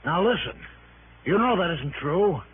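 Thin vintage radio sound with music behind. A slippery, falsely hearty American man talks in a high, swooping voice, glib and evasive, as a suspect in a radio crime play. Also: animated, a little slow. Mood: defensive, evasive. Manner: breezy, slippery, overly hearty. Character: glib, evasive male party-host murder suspect. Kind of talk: 1960s radio drama acting. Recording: tinny old radio broadcast, music behind.

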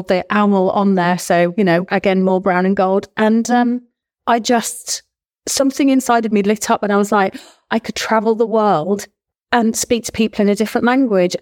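A woman's voice: mid-range voice, a little mellow. A woman from England in her forties, a former language teacher, chatting enthusiastically as an interview guest and laughing often. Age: 40s. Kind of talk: casual interview chat.

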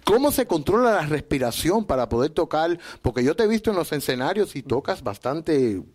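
A man's voice: on the high side, very animated, fairly quick, darkish tone. Host guy asking questions, curious, voice goes up and down a lot. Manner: curious, easily impressed. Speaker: interview host. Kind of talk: casual interview questions.

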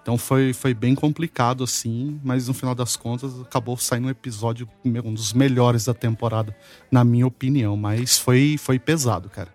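A man's voice: medium pitch, steady tone, moderate pace, a little mellow, faint music behind. A man co-hosting a casual podcast. He talks in an easygoing way and reacts with short interjections. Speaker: podcast co-host.

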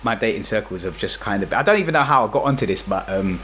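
A man with a London accent telling personal stories in casual, slangy, animated chat as a show guest. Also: lowish voice, quick pace, a little hiss or hum.

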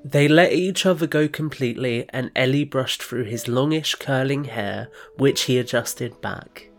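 A man's voice: mid-range voice, a little slow, faint music behind. A man reading scripted third-person narration as the narrator of an audio drama.

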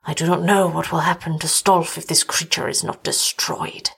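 An older gentleman acted by a woman reader speaks in formal, melodramatic phrases, weary and full of despair. The voice is somewhat husky, in the manner of a gothic storybook. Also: on the high side, little pitch movement, normal speed, exaggerated voice. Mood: weary, bleak. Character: anguished, despairing older gentleman, village husband haunted by horror.

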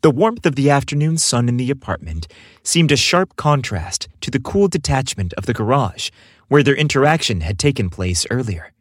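A man narrating scripted romance fiction in an expressive, dramatic storytelling manner. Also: mid-range voice, normal speed, slightly rough, dry recording, clean studio sound.

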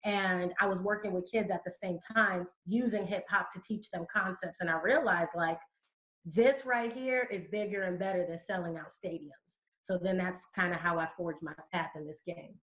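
A woman's voice: fairly low pitch, fairly even intonation, moderate pace, fairly bright tone, poor audio. A woman from Connecticut, a youth educator, answering interview questions in fluent, conversational storytelling.

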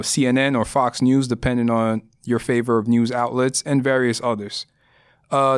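A man's voice: medium pitch, clean tone, no echo, studio quality. A young adult man from Ghana hosting a solo podcast, talking in an earnest, explanatory way with occasional restarts. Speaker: podcast host.

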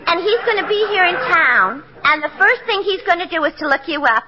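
A gossipy, slangy beauty parlor gal in an old radio comedy. Her voice is very high and sharp, tumbling through mangled genteel grammar, on a muffled, slightly noisy vintage recording. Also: lively intonation, a little fast, exaggerated voice. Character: chatty, wisecracking, slangy female beauty operator. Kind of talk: 1940s radio comedy acting.

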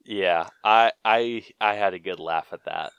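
A man co-hosting a podcast and chatting casually about a movie, relaxed and full of fillers. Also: sits low, unhurried, clean tone, no echo, lo-fi sound. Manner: relaxed, with frequent fillers and mild swearing. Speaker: podcast co-host. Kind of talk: casual movie review chat.

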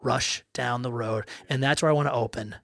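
A man's voice: medium pitch, normal speed, gravelly, no echo, studio quality. A man acting as game master on a tabletop game podcast, bantering and joking with his players before recapping the last fight. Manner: animated, joking, swears casually.

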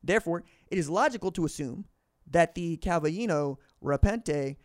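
A man's voice: fairly high pitch, animated, normal speed, no room sound, clean studio sound. A man hosting a podcast, reading a book aloud and adding his own commentary, often stumbling and correcting himself mid-sentence. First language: English. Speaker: podcast host.

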